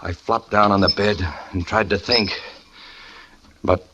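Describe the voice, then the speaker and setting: A man's voice: lowish voice, steady tone, measured pace, dull audio, noisy background, natural voice. An actor playing a jealous, tormented young circus catcher who narrates his own story in a 1940s radio drama. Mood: brooding. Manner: brooding first-person confession, rising to anguish. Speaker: narrator and lead character.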